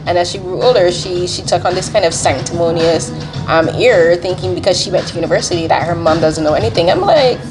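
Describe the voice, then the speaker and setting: Woman from barbados in her 40s, lowish voice, chatty and animated, music under it. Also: a little fast, rough recording. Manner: animated, laughs often, quotes herself in a higher voice. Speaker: interviewer. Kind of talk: casual interview chat.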